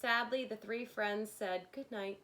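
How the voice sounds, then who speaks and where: A woman reading a picture book aloud to young children in an expressive, dramatic way, like a kindergarten teacher with playful asides. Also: medium pitch, unhurried.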